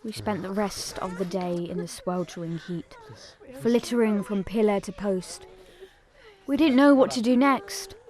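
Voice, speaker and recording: A woman's voice: medium pitch, a little mellow, close to an everyday voice. A woman playing an earnest young pilgrim who narrates a family tragedy in a scripted audio drama.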